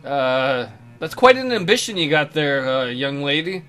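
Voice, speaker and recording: A man's voice: a little high, big pitch swings, unhurried, fairly bright tone, soft background music. A man playing a flustered, evasive private tutor in a visual novel, hesitant and placating.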